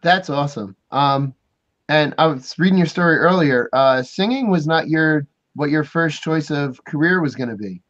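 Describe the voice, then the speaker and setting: A man's voice: on the high side, expressive, moderate pace, fairly bright tone, lo-fi sound. A man hosting a podcast interview, asking questions in a hesitant way with many ums and restarts. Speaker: podcast host.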